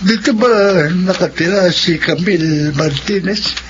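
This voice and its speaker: A man's voice: high voice, a little slow, fairly bright tone. A Filipino actor playing a solemn, kindly old man in a radio drama. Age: older. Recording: dull, noisy lo-fi radio.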